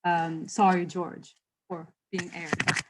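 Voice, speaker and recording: A woman's voice: lowish voice, flat delivery, slow, very noisy. A woman on a panel speaking earnestly over a video call, searching for her words and often saying "like". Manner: earnest, searching phrasing with frequent "like". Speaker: panelist and poetry reader.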